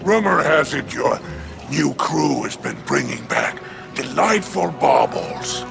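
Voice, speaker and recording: A wily alien crime baron for a video game. The voice is thick with gravel and drawls slowly, sliding up and down in a slyly genial, insinuating way. Music plays clearly behind it. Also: male voice, fairly high pitch, huge pitch swings, exaggerated voice. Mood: sly, coaxing. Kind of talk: video game cutscene acting.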